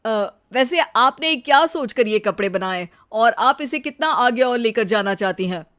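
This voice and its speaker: A woman's voice: fairly high pitch, expressive, moderate pace, fairly bright tone. A woman voicing an admiring, inquisitive fashion buyer in a cartoon story, polite and enthusiastic.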